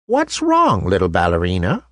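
A man voicing a kindly old woman toymaker who speaks softly and soothingly in a storybook. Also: high-pitched, huge pitch swings, unhurried, husky, dry recording, voice pushed up, heavily acted. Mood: gentle. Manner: soft, soothing.

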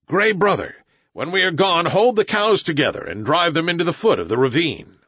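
A man's voice: a little high, big pitch swings, brisk, fairly bright tone, husky, lo-fi sound, heavily acted. A man reading aloud and voicing an eager jungle boy who gives urgent, commanding orders in old-fashioned speech.

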